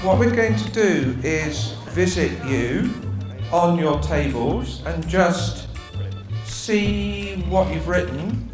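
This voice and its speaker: A man's voice: high-pitched, expressive, takes it slowly. A man speaking to a group in an echoey room. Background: music.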